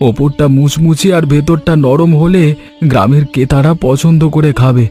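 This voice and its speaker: পুরুষ কণ্ঠে আন্তরিক গ্রাম্য স্বামী, একটু চড়া কোমল গলা. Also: male voice, fairly high pitch, fairly even intonation, moderate pace, mellow, faint music behind, lightly acted. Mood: careful, instructing. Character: earnest, caring village husband and farmer turned snack seller. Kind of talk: Bengali animated moral story dialogue.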